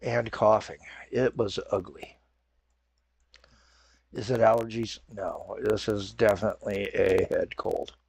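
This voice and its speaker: A man talks through his strategy game while fighting a head cold, his nose stuffed and his throat raw. His voice sits low with a gravelly, husky edge. It sounds close and dry, and he rambles, backtracks and apologizes as he goes. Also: fairly even intonation, a little slow, darkish tone, dry recording. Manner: rambling, self-correcting, apologetic. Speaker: gaming channel host. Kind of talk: casual let's-play commentary.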